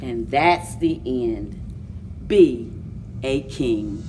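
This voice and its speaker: A woman hosting a children's story time, speaking in a deliberate, expressive read-aloud manner for young listeners. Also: extremely low pitch, far from the mic, music in the background. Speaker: library story time host.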